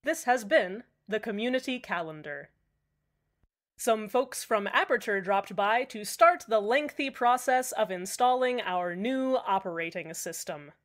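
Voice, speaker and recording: A woman playing an eerie small-town community radio host in a scripted fiction podcast, deadpan and measured, with deliberate pauses. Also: mid-range voice, lightly acted. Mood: deadpan, chatty. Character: eerily cheerful female small-town community radio host, uneasily upbeat. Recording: music under the voice. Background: background music.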